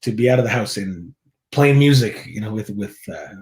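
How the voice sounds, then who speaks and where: A man's voice: on the low side, animated, moderate pace, a little mellow. A man from Mexico who leads a church's contemporary worship, chatting easily as an interview guest, laughing often and restarting his sentences. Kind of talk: casual interview chat.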